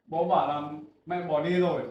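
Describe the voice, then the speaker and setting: A man's voice: fairly high pitch, steady tone, moderate pace, a little mellow, a little hiss or hum, close to an everyday voice. Man as son reunited with his mother, earnest and pleading, dull echoey take. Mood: aggrieved. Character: grown son who finally finds his mother.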